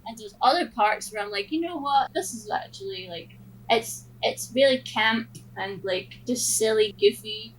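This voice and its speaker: A woman co-hosting a podcast in casual chat, telling things in an expressive, giggly way. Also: a little high, normal speed, slight background noise, low-quality recording. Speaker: podcast co-host.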